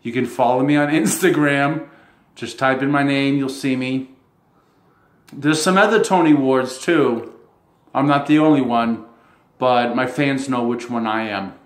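Rambling man, roomy echo. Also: mid-range voice. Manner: rambling, halting, chuckles between phrases. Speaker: self-described model. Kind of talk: casual monologue to camera.

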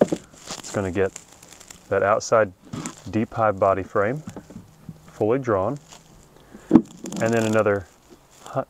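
Low-voiced man teaching outdoors. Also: slight background noise. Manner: plain practical explaining with restarts. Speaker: beekeeping channel host. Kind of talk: outdoor how-to demonstration. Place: wooded yard outdoors by a pickup truck.

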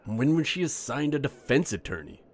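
A man's voice: a little high, sing-song, normal speed. A man playing a weary, irritable FBI special agent in an audio drama, curt and official. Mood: exasperated.

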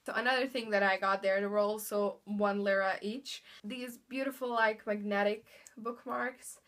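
A woman's voice: mid-range voice, steady tone, normal speed, a bit bright. A young woman with a Bosnian accent, chatting casually and cheerfully about her new books in front of a bookshelf at home. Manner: chatty, upbeat, many fillers and "really". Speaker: book vlogger. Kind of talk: casual chat. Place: indoor room in front of bookshelves. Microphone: camera in front of her.

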